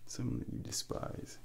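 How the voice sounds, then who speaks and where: A man playing a suave, ominous Devil in a soft-spoken ASMR roleplay, slow and deliberate, close to the mic with hushed whispers. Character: suave, ominous male Devil probing a soul. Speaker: ASMR performer. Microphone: close binaural microphone. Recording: close-up with faint background noise.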